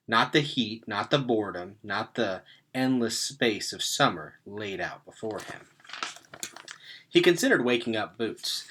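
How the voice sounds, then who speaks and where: A man reads a storybook aloud with lively, swooping pitch and a bright, forceful voice. He leans on key words for dramatic effect, in an expressive narrating style. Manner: animated, dramatic emphasis. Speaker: read-aloud reader.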